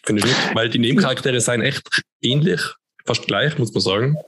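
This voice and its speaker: A man, co-hosting a podcast in relaxed, rambling casual chat. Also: mid-range voice, normal speed, breathy edge, dry recording. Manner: relaxed, chatty, rambling with fillers. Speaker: podcast co-host.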